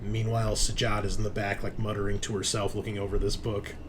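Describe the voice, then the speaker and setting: A man's voice: on the low side, flat delivery, quick pace, background noise. A man narrating a tabletop game as game master, conversational and thinking aloud as he goes.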